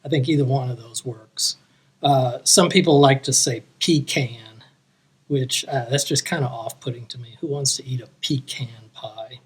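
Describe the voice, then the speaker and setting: A man from Texas, talking easily and hesitantly with many pauses and filler words. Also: mid-range voice. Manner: easygoing, hesitant, many uhs. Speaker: guest sending a clip. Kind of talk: casual remarks to viewers.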